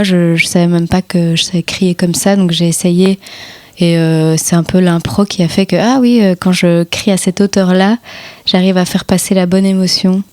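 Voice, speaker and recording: Soft-spoken young woman, low even voice. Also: no echo. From half Italian. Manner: hesitant, many fillers, soft-spoken. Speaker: singer in a band. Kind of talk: casual interview chat. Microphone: radio studio microphone.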